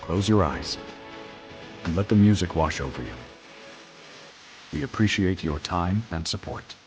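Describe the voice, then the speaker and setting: Man, very low pitch, soothing scripted announcer interlude, clear music behind. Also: expressive, unhurried. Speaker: channel announcer.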